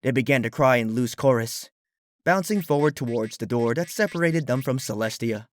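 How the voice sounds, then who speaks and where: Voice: mid-range voice, animated, moderate pace, no echo. A storyteller reading a scripted fictional narration.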